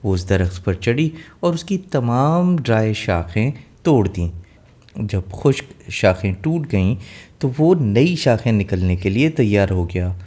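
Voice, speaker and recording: A man's voice: on the low side, sing-song, measured pace, dark tone. A man narrating a scripted fairy tale as a storyteller.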